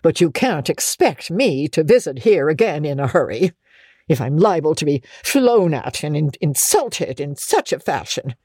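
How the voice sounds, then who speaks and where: A woman's voice: low-pitched, very animated, moderate pace, warm tone, slightly rough, no room sound, studio quality, exaggerated voice. A woman voicing an offended, outspoken elderly village busybody with blunt, haughty indignation, in a dramatic storybook reading. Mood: huffy indignation.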